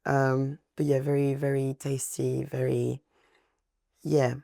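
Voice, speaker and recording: A man's voice: medium pitch, completely flat delivery, takes it slowly, gravelly, mellow, dry recording, studio quality. A young man with a French accent, a guest chatting casually about food, searching for words as he talks. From France. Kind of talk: casual chat.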